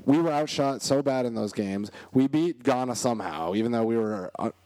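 A man co-hosting a casual chat, rambling and restarting his sentences, with a voice going hoarse. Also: mid-range voice, a little fast, dark tone, no room sound. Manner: rambling, self-interrupting, laughs often. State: losing his voice. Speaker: podcast co-host.

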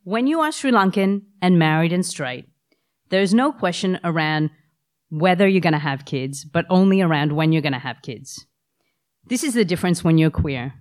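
A woman's voice: fairly low pitch, expressive, normal speed. Wry woman with the flu. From Australia. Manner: wry, self-deprecating humor. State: has the flu. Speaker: storyteller. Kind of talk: live storytelling to an audience.

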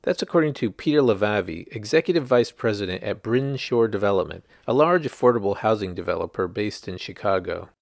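A man's voice: lowish voice, lively intonation, brisk, gravelly, hardly any pauses, no room sound, studio quality. A man reading a news article aloud as a scripted narration, in the manner of a reporter presenting a written story.

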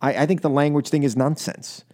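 Male American radio host, voice a bit above average pitch. Fired-up, exasperated political monologues with punchy repeats. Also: flat delivery, fairly quick, a little mellow. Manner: emphatic, exasperated, repeats phrases for stress. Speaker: talk radio show host. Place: radio studio.

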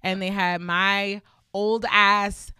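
A woman hosting a podcast in casual chat, animated, slangy and swearing freely. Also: mid-range voice, takes it slowly, smooth, no echo, clean studio sound. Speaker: podcast host.

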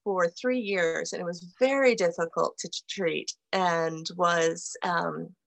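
A middle-aged woman from central New York, a guest on a video call, telling a family story in an earnest, measured way. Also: fairly low pitch, gravelly. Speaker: guest, author. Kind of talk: interview answers.

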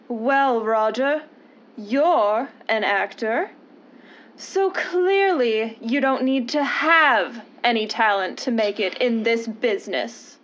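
A woman's voice: a little high, slow pace, a bit bright. An actress playing a woman in a melodramatic old-time radio comedy, with a theatrical delivery. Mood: indignant. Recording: dull lo-fi old radio sound.

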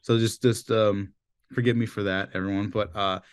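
Slightly raspy, low-voiced male podcast host. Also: level tone, moderate pace. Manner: chatty, loose, with many filler "like"s. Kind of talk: casual podcast chat.

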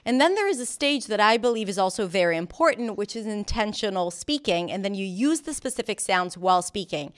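A woman's voice: mid-range voice, expressive, brisk, hardly any pauses. A woman whose first language is Hebrew, a pronunciation teacher explaining her approach in an earnest, conversational interview. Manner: earnest, explanatory, with frequent restarts.

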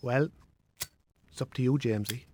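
An actor with an Irish accent playing a smug poker ringleader in a radio drama, mock-friendly and needling. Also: male voice, mid-range voice, fairly even intonation, moderate pace, a little mellow, lightly acted. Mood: goading. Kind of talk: naturalistic radio drama acting.

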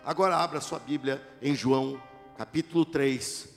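A middle-aged man preaching a sermon to his church, dramatic, rising to shouts at the peaks and joking with the congregation. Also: medium pitch, normal speed, vocal fry. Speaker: pastor. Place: church sanctuary. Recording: echoey church hall with music.

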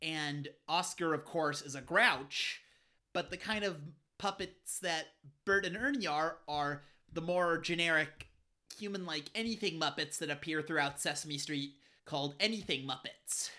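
A bright, sharp-sounding co-host joins a casual podcast chat. The answers come short and eager, in loose, rambling phrasing. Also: medium pitch, a little slow.

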